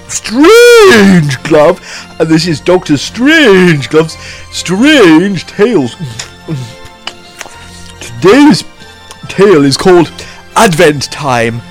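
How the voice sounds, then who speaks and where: A man's voice: high-pitched, full character voice. A man voicing a greedy, scheming mad doctor with a theatrical, smug manner. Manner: theatrical and sing-song, smug.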